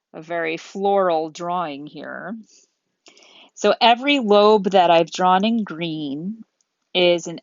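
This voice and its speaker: Slow, low-voiced woman giving a lecture. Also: no echo, muffled recording. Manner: methodical, deliberate, step-by-step phrasing. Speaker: chemistry lecturer. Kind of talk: explanatory lecture, thinking aloud while drawing.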